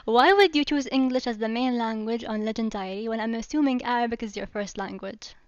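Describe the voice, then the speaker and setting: A woman's voice: fairly high pitch, fairly quick, clear, resonant tone, dry recording, muffled recording. A woman interviewing a guest, asking questions and often affirming with short replies. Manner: frequent brief affirmations.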